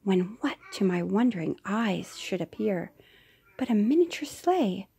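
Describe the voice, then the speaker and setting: A woman's voice: mid-range voice, big pitch swings, measured pace, creaky, slight background noise. Mother reading a storybook aloud. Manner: gentle, with soft whispered asides.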